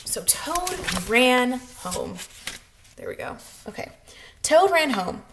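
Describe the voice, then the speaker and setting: Woman preschool teacher for children's story time: higher-pitched, very slow, addressing little listeners directly. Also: big pitch swings, a bit bright, a little hiss or hum. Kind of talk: children's storybook narration and greeting.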